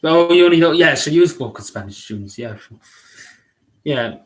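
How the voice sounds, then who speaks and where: A young man with a Derry accent from Northern Ireland, chatting casually on a live stream, rambling and apologetic with many halting restarts. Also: a little high, animated. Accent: Derry, Northern Irish. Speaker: livestreamer.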